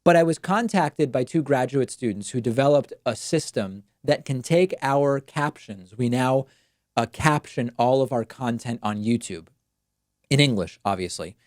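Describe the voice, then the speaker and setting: A man from Argentina whose first language is Spanish, hosting a political talk show in a measured, explanatory way. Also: mid-range voice, expressive, darkish tone, no echo, studio quality. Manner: measured, explanatory, weighs pros and cons. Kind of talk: solo political commentary.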